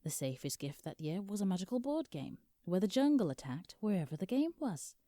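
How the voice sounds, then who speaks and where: British female lawyer, played by an actress, audio comedy. Mellow, close dry sound, businesslike and put-upon. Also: medium pitch, sing-song, a little fast, no echo, close to an everyday voice. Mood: dry, explanatory. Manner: formal, explanatory, dry. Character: exasperated, businesslike female lawyer for Santa Claus. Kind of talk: scripted comedic audio roleplay.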